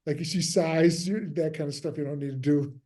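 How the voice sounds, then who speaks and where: A man leading a script table read, giving casual notes in a halting, hesitant way that often trails off. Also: a little high, steady tone, warm tone.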